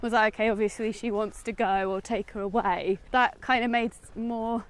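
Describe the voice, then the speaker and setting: A woman's voice: a little high, steady tone, normal speed. A woman with an English accent chatting casually about a film while out walking, in a hesitant, hedging way. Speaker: film review vlogger. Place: outdoors, walking in woods.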